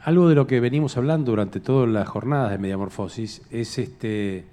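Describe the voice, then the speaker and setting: A man moderating a live panel, talking conversationally and rephrasing haltingly as he thinks aloud. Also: mid-range voice, dark tone. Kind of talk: conversational question-and-answer on stage.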